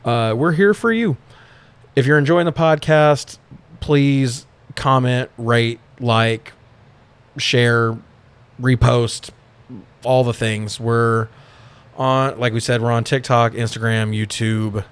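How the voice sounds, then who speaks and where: A man's voice: medium pitch, fairly even intonation, slow, clear, resonant tone. A man co-hosting a podcast in casual, informal chat that is occasionally profane. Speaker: podcast co-host.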